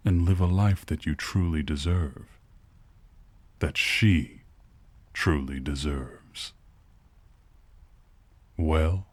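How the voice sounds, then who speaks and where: A man playing a covetous demon lord of greed, a taunting, ominous tempter in an ASMR roleplay, with a very deep husky voice. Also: a little mellow, no room sound, full character voice. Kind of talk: ASMR roleplay acting, theatrical villain.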